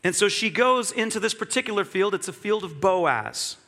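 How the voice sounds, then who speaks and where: A man's voice: high voice, lively intonation, normal speed, bright, forceful tone, in a large hall. A man preaching a sermon in an earnest, reflective way, repeating key phrases.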